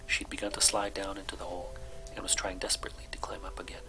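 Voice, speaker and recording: A man narrates a children's storybook in a low register. His delivery is hushed, close to a whisper, in a soft bedtime style. Music plays clearly behind him. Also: flat delivery, quick pace. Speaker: storybook reader.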